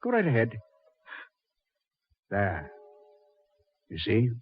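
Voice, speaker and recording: A man playing a suave, mocking Satan who ferries dead souls, unhurried and sardonically polite, in 1940s radio horror style. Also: on the low side, huge pitch swings, breathy edge, heavily acted. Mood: cool, commanding. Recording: dull 1940s radio recording.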